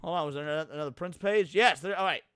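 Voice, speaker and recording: High-voiced, crisp male streamer. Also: fast talker, no echo. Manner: slangy, profane, excitable. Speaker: streamer reacting to horror videos. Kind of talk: live reaction commentary, casual.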